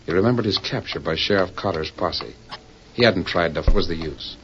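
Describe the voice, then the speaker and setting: An actor playing a grave, theatrical narrator of a 1940s radio western serial, telling the story solemnly. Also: male voice, low-pitched, brisk, fairly bright tone, slight background noise. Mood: grave, suspenseful. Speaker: narrator and announcer. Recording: muffled 1940s radio recording.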